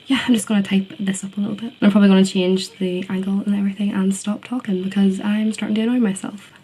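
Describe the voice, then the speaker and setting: A woman's voice: mid-range voice, steady tone, quick pace, mellow, poor audio. A young woman with a Northern Irish accent chatting casually in a student vlog, in a self-deprecating way. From Northern Ireland. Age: young adult. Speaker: student vlogger. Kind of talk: casual study vlog chat.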